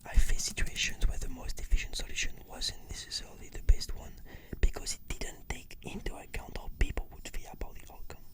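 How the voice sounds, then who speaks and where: A woman whispering measured, earnest, scripted-sounding answers as a client in an ASMR therapy roleplay.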